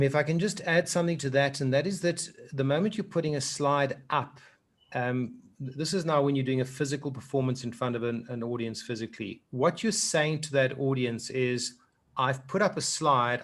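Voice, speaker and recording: South African man coaching on public speaking. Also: medium pitch. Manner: earnest, repeats words when starting a point. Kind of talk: online workshop talk.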